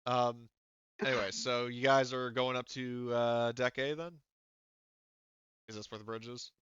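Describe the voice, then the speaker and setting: A man working as game master, narrating and chatting casually in a halting way, thinking aloud and swearing freely. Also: medium pitch, fairly even intonation, fairly bright tone, clear, resonant tone, no echo, dull audio.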